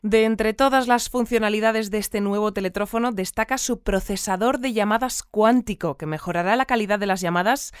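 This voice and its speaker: A woman's voice: medium pitch, smooth, no room sound, close to an everyday voice. Composed female newsreader played by an actress, dry studio. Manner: formal, measured, unflappable. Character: composed female radio newsreader of a sci-fi community. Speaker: news anchor. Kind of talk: scripted news bulletin, fiction podcast. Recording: dry studio with music bed.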